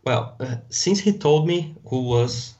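A man from Brazil with a Brazilian Portuguese accent, a Portuguese speaker being interviewed on a podcast, often restarting his sentences. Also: mid-range voice. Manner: halting, restarts often, relaxed. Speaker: guest artist. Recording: slightly dull, lo-fi sound.

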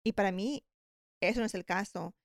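A woman's voice: mid-range voice, fairly quick, dry recording, studio quality. A woman hosting a podcast, reacting eagerly and telling stories while mixing Spanish and English. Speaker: host. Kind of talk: casual bilingual conversation.

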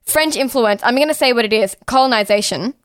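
A young woman with an Australian accent talks bluntly and casually, leaning on "like" and the odd swear word. Her voice sits a little high. The recording is dry and close, with little room sound. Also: fairly quick, no room sound. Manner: outspoken, heavy use of "like", swears freely. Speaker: podcast co-host. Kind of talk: casual podcast chat.

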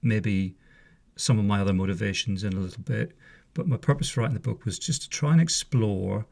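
A man's voice: fairly low pitch, fairly quick, vocal fry, a little mellow. A man in his fifties from Northern Ireland, a former police officer hosting a podcast, talking casually and wryly with frequent asides. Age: 50s. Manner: chatty, wry, wanders off on asides. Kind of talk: casual spoken monologue. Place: home room.

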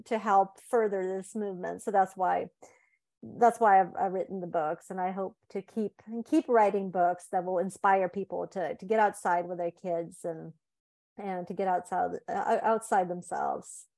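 A woman in her forties from Sweden, a guest author talking in a casual interview, thoughtful and halting, with many fillers and restarts. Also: medium pitch, vocal fry, a little mellow.

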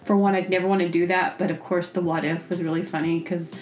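A woman's voice: fairly low pitch, little pitch movement, fast talker, a little mellow. A woman chatting casually as a podcast host, telling rambling, self-deprecating stories and acting out her own reactions. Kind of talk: casual comedic storytelling. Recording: roomy, echoey sound.

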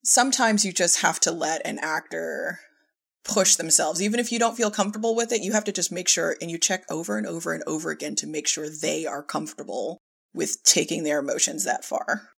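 A woman's voice: lowish voice, fairly quick, vocal fry, slightly rough. A woman speaking informally as a director telling work anecdotes in an interview, often quoting herself and chuckling. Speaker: podcast guest, audio drama director and filmmaker.

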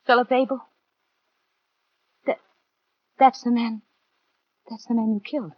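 A woman's voice: fairly high pitch, fairly even intonation, a little slow, breathy edge, no echo. An actress with an American accent playing a defiant doomed woman in a 1940s radio thriller. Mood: shocked, pleading. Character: defiant doomed woman facing her killer. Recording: dull vintage 1940s radio recording.

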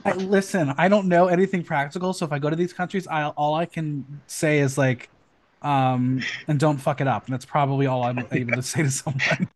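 A man's voice: a little high, a little fast, slight background noise. Chatty upbeat male podcast host. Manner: chatty, excitable, rattles off news with filler ums. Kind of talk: casual podcast chat.

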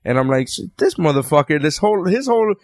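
A man's voice: fairly high pitch, fast talker, no echo. Swearing, rambling man in casual chat. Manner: rambling, heavy swearing, animated retelling.

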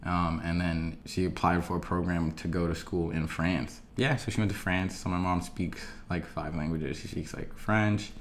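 A man's voice: low-pitched, level tone, moderate pace, hardly any pauses, echoey room, a little hiss or hum. A young man from Tanzania, a podcast guest talking casually, full of "like" and swearing easily. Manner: casual, filler-heavy, swears and laughs easily. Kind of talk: casual interview.

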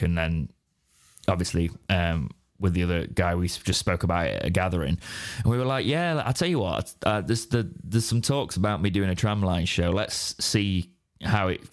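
Man, English accent, very low voice, hoarse and raspy, rambling chat. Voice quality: deep raspy voice. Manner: rambling, restarts mid-sentence. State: hoarse after straining his voice. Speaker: podcast co-host. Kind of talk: casual chat.

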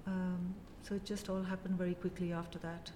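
A woman in her fifties from India with an Indian English accent, telling her story as a guest in a casual interview, measured and full of pauses. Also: fairly low pitch, little pitch movement, dark tone, big-room sound, slight background noise. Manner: measured, many pauses and "uh" fillers.

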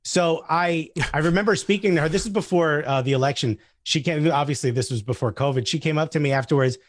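A man chatting casually as a comedian guest, telling anecdotes in an animated way and acting out the dialogue. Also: fairly high pitch, fast talker, slight background noise. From Newark, New Jersey, USA.

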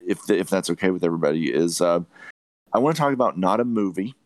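Deep-voiced man giving emphatic film opinions. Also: expressive, fast, darkish tone, no echo. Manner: emphatic, repeats phrases for stress. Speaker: podcast host reviewing films. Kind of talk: opinionated movie review chat.

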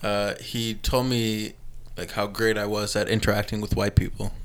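A man's voice: on the low side, flat delivery, moderate pace. A man, co-hosting a casual chat and telling stories in a laid-back, slangy way.

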